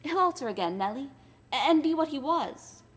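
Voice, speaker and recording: Timid anxious wife, soft and stammering, high voice, read by a woman. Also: expressive, moderate pace. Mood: gentle.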